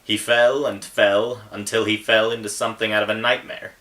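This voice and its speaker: A man's voice: lowish voice, flat delivery, moderate pace, sharp tone, distant mic. A man who is an author, talking about and reading aloud from his own book. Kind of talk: casual book intro, then narrated reading.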